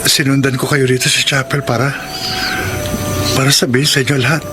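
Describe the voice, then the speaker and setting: A man's voice: medium pitch, flat delivery, a little fast, close to an everyday voice. A man with a Filipino accent playing an earnest, pained husband in a radio drama. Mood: earnest, apologetic. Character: earnest, pained husband explaining for his wife. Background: loud dramatic music.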